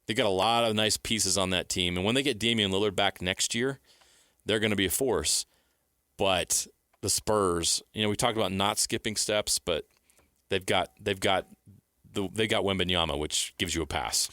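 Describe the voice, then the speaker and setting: A man's voice: low-pitched, normal speed, pushed and bright, no room sound, studio quality. A man co-hosting a sports radio show, casually debating in an opinionated, declarative way.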